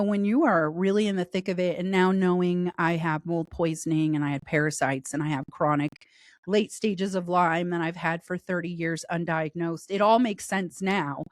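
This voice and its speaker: A woman guest with a raspy voice from a cold, telling her story in a candid, talkative way during a casual interview. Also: on the low side, dry recording, studio quality.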